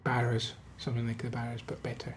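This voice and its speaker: An easygoing man chatting casually, sometimes pausing to find his words. His voice sits at a middle pitch. Faint noise runs under the recording. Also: completely flat delivery. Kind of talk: casual chat.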